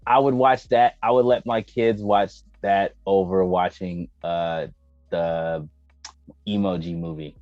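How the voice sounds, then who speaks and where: An American man co-hosting a casual chat, slangy and profane, breaking into exclamations and halting as he gathers his opinions. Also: low-pitched, fairly even intonation. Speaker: podcast co-host. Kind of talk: casual banter about movies and anime. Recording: roomy, dull microphone sound with music behind.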